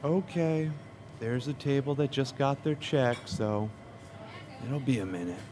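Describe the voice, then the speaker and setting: An actor playing a deadpan, unimpressed restaurant host in a naturalistic audio drama, flat and matter-of-fact. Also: medium pitch, measured pace, noisy background, natural voice. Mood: indifferent.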